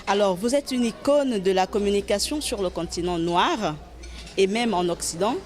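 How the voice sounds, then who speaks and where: A woman interviewer with a mid-range voice delivers prepared questions. Her speech is formal and polished, in the steady manner of a reporter presenting a segment. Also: mid-range voice, normal speed.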